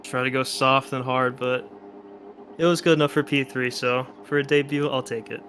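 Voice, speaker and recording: A man's voice: mid-range voice, fairly even intonation, normal speed, a bit bright, slight background noise. A man talking as a third-place driver in a post-race interview, hesitant with many fillers.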